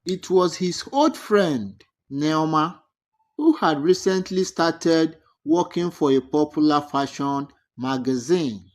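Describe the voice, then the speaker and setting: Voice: mid-range voice, expressive, takes it slowly. A narrator reading a scripted moral folktale as a storyteller.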